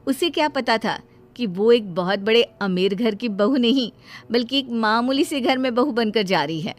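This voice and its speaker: A woman storyteller reading scripted narration for a cartoon bedtime story. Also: fairly high pitch.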